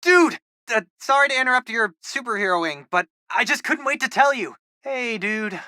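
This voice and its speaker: An eager, geeky American teenage boy who serves as a superhero's tech helper in a video game. His delivery is informal and quippy, with animated swings in pitch and a bright, forward tone. The sound is very dry, with no room around it. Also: moderate pace, dry recording, full character voice. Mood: excited, amazed. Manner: informal, eager, nerdy tech talk with quips. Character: geeky, excitable teenage boy hacker, loyal superhero sidekick. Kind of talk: video game voice acting, casual radio-style chatter.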